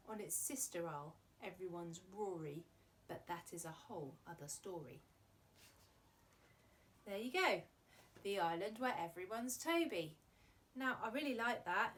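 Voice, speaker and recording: A woman's voice: medium pitch, a bit bright, slight background noise. A woman presenting a children's arts show, chatty and playful as she talks to young viewers and reads silly verse. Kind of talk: children's presenting and poem reading.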